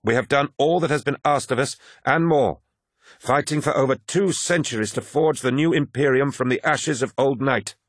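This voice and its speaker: A man voicing a proud, commanding warrior leader in an audiobook, speaking forcefully like an orator to his troops. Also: medium pitch, moderate pace, no room sound, pitched above the performer's own voice, natural voice. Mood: rousing pride. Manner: declamatory, forceful speech-making. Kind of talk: dramatic audiobook character voice.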